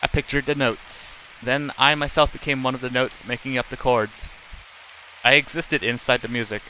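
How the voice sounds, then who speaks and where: A man's voice: medium pitch, steady tone, moderate pace, low-quality recording. A middle-aged man live streaming, reading game text aloud in a plain, unacted way with casual asides and occasional stumbles.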